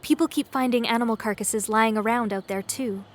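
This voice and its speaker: A woman as a high-voiced, anxious, sweet young woman. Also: fairly quick, slight background noise, close to an everyday voice. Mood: awkwardly evasive. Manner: hesitant, apologetic. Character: sweet, awkward, anxious young woman hiding her fear. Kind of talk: comedy horror audio drama acting.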